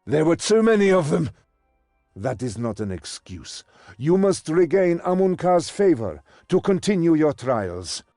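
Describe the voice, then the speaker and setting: An actor playing a stern, commanding old mentor in a game cutscene, with theatrical delivery. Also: male voice, on the high side, big pitch swings, a little slow, warm tone, no room sound, clean studio sound, faint music behind. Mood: indignant. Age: older. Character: stern old male mentor, servant of a master. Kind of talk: scripted game cutscene acting.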